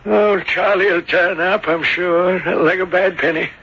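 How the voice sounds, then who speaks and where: A man's voice: sits high, steady tone, measured pace, pushed and bright, breathy edge, heavily acted. An actor playing a flustered, defensive small-town innkeeper in a radio drama, stammering and folksy as he grumbles. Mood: indignant. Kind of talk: 1970s radio drama acting. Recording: dull lo-fi old radio broadcast.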